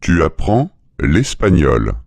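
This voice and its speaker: Deep gravelly male lesson voice, slow, close dry recording. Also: wildly swooping pitch, a little mellow, no echo. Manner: deliberate, clearly spaced phrases. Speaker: French speaker in a language lesson. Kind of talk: slow model phrases for learners.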